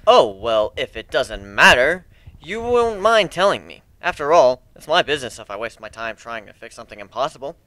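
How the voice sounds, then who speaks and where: A man's voice: medium pitch, big pitch swings, moderate pace, bright, forceful tone, no room sound. A man voicing a brash, cocky griffin adventurer with a blunt, sardonic way of talking, in a fantasy story reading.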